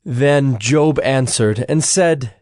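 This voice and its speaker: Male narrator, scripted scripture reading, unhurried pace, mid-pitched, dry close sound. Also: a little mellow, no echo.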